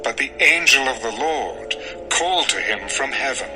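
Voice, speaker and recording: A man narrating a dramatized scripture text in a solemn, measured, formal style. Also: mid-range voice, expressive, poor audio. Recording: music under the voice.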